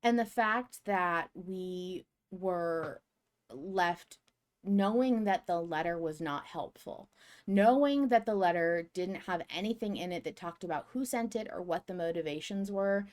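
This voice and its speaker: A woman with a lowish voice co-hosts a podcast. She talks casually, as in a fan discussion, working through her thoughts aloud and stressing her points. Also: a little slow. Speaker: podcast co-host.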